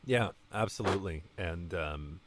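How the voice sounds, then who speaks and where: A man in his late twenties chatting casually as a guest, halting and rambling with a self-deprecating streak. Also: low-pitched, slight background noise. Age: late 20s. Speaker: voice actor, podcast guest. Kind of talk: casual conversation about a fan project.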